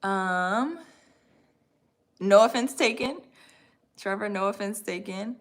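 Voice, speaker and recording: A woman's voice: medium pitch, takes it slowly. Upbeat woman hosting a livestream. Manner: friendly, upbeat, says y'all and right often. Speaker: livestream host and course coach. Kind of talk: casual livestream chat reading comments.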